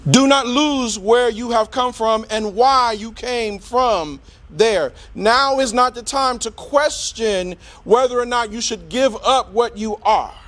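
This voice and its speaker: A middle-aged man giving an impassioned, preacher-like public speech in a deliberate, rhetorical manner with emphatic repetition. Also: very high-pitched, a bit bright. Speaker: community speaker.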